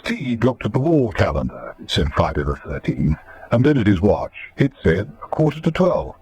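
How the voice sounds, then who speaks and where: A man's voice: on the low side, sing-song, moderate pace, darkish tone. A man reading dramatic scripted narration as the narrator of a radio serial.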